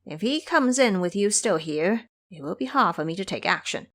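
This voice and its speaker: A woman's voice: medium pitch, animated, moderate pace, a bit bright, lightly acted. Lady doing a cocky little monkey king for audio drama, teasing and theatrical, voice jumps all over the place. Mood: firm. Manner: boastful, preachy, theatrical. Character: cocky, teasing male monkey king, small but sturdy.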